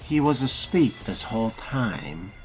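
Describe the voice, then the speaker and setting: Slow, deep-voiced young vampire played by a man. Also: very animated, soft background music. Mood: questioning. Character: male newly turned vampire, guarded and terse. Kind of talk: role-play of a video game character.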